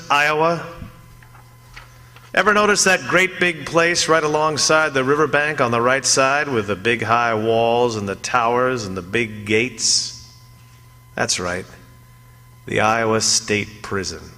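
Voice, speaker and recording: An actor playing a weary condemned prisoner in a 1940s radio drama, speaking in a folksy, confiding way straight to the listener. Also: male voice, mid-range voice, bright, forceful tone. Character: weary condemned male prisoner recounting his last Halloween. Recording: reverberant old radio broadcast, music behind.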